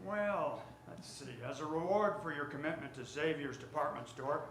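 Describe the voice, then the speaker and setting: A man playing a smooth, self-assured department store tycoon, brisk and commanding, in a radio drama. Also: on the high side, expressive, fairly bright tone. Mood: guarded. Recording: roomy stage recording, faint ambient noise.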